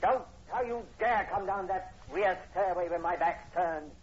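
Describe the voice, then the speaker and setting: A man's voice: sits high, a little slow. An actor playing an ill-tempered, reclusive landowner in his forties, curt and threatening, in 1940s radio horror style. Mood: furious. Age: middle-aged. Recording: dull, noisy old radio broadcast recording.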